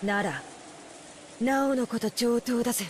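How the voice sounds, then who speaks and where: A woman playing a brave, rough-talking teenage girl with blunt tomboyish phrasing in a Japanese visual novel. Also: on the high side, brisk, slight background noise, natural voice. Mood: defiant. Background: game music.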